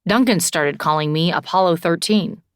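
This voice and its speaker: A woman's voice: on the low side, normal speed, clean tone, no room sound, studio quality, lightly acted. A woman voicing an easygoing, boyish young man, earnest and a little goofy, in audiobook dialogue. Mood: offhand.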